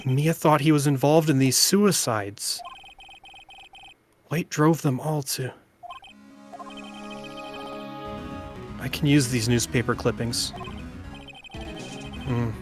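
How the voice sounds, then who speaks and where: A man's voice: medium pitch, takes it slowly, long pauses, lightly acted. A man voicing an earnest, dogged young defense lawyer in an amateur video game dub. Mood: curious, musing. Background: game music.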